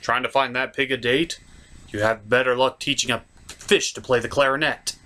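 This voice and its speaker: A man's voice: medium pitch, fairly even intonation, normal speed, sharp tone. A man hosting a scripted comedic review with skits, with a theatrical, exasperated delivery. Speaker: comic reviewer and host.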